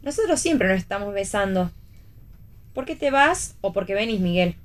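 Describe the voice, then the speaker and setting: Radio play old flame, played by a woman: Argentine, bold, teasing, medium-pitched voice. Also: lively intonation, moderate pace, close to an everyday voice. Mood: playful. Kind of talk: radio theatre reading.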